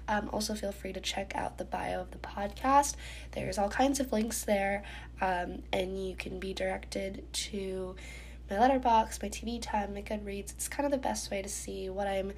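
Young woman with a stuffy nose, kinda gravelly, rambling podcast chat w/ lots of ums, faint noise behind. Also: medium pitch, a little mellow. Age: young adult. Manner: chatty, many ums, getting ready while talking. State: congested, stuffy nose, sore throat. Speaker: podcast host. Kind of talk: casual solo podcast chat.

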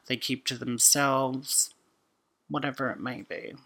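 A woman, a podcast guest talking casually and thoughtfully, often pausing and restarting. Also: extremely low pitch, fairly even intonation, fairly bright tone. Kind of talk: casual conversation.

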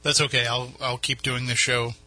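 A man's voice: mid-range voice, little pitch movement, moderate pace, pushed and bright. A man with a New England accent hosting a radio show, chatting casually in a studio with many restarts. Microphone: studio mic.

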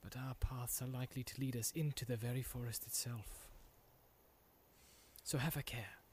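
A man reading an audiobook, voicing a grave, steady male ranger leader who speaks in a measured, solemn way. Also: medium pitch, a little hiss or hum, natural voice. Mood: warning.

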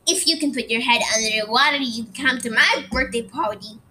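A woman's voice: a little high, brisk, pushed and bright, a little hiss or hum. Woman doing a bossy little birthday girl.

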